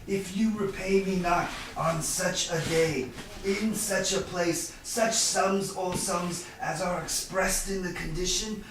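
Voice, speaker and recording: Man acting a high-voiced bitter moneylender, echoey room. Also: steady tone, slow pace. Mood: slyly friendly. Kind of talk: staged reading of Shakespeare. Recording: distant, echoey room with background noise.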